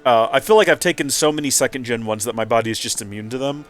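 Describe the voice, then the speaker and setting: A man's voice: medium pitch, quick pace, faint music behind. A man hosting a let's play with casual, rambling commentary, his nose stuffy and a little nasal. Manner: chatty, rambling asides.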